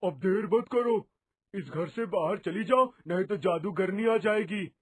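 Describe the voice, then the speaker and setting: Man voicing a high-pitched husky talking dog. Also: a little slow, no room sound. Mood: warning. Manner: grave, warning. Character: cautioning talking dog, a human turned animal. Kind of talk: children's cartoon story acting.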